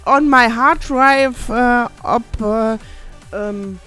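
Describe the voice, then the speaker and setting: A middle-aged woman hosting a casual radio show, rambling and self-mocking, reading listener messages in halting broken English. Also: a little high, fairly bright tone, faint music behind.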